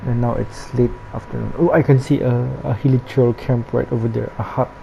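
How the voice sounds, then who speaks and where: A woman's voice: rumbling low, steady tone, fairly quick, creaky, mellow, lo-fi sound, a little hiss or hum. A woman giving casual, hesitant, muttered commentary as she plays a game. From Philippines. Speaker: gameplay commentator. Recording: game music behind the voice. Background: game music.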